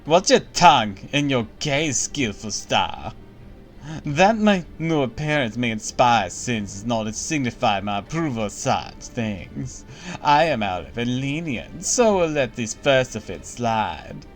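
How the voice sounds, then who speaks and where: A man's voice: mid-range voice, sing-song, unhurried. A man voicing a vain, imperious empress with a put-on German accent, haughty and pompous, an impish and cruel grown woman, with faint game music behind. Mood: imperious, threatening. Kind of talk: voicing game dialogue on a stream.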